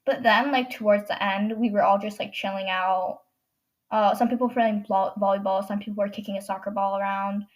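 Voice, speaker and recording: A woman's voice: mid-range voice, fairly even intonation, brisk, poor audio. A teenage girl hosting a podcast, telling a story in a rambling way with lots of fillers and self-corrections, her nose stuffed up. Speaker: podcast host. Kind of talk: casual storytelling monologue.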